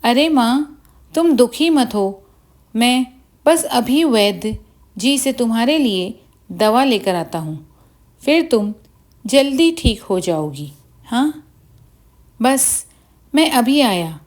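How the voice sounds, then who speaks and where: Kind poor village lad , played by a female narrator, slow, reassuring, dutiful, bedtime-tale delivery. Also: on the high side, animated. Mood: concerned. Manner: gentle, reassuring, earnest.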